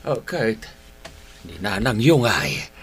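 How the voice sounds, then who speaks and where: An old Filipino patriarch in a radio drama. He speaks slowly and haltingly, murmuring between phrases, with pitch that swings widely over a noisy recording. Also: very animated, fairly bright tone, exaggerated voice. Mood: halting, plaintive. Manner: halting, full of hums and murmurs.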